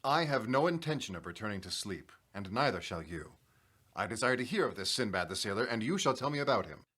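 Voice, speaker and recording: An actor playing an imperious, distrustful and tormented sultan in a dramatic, declamatory audio drama style. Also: male voice, fairly low pitch, very animated, a little fast, bright, forceful tone. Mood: curt, commanding. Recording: music bed behind the voice.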